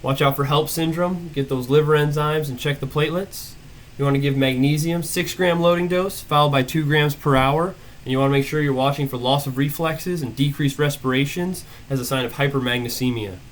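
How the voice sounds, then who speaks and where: A young adult man with a head cold presents an emergency medicine case and teaches it step by step, listing findings briskly. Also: a little high, steady tone, a little hiss or hum. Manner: brisk, methodical, listing findings in short phrases.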